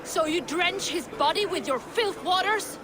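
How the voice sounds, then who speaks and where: High, furious Norse villager. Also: measured pace, over music. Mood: scornful. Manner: scornful, threatening. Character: furious, accusing Norse villager, loyal pagan zealot. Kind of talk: video game cutscene acting.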